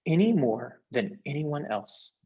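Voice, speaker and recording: A man's voice: on the high side, big pitch swings, slow, dark tone, dull audio. A man voicing a brave, earnest young girl with a questioning, resolute manner, in a storybook reading.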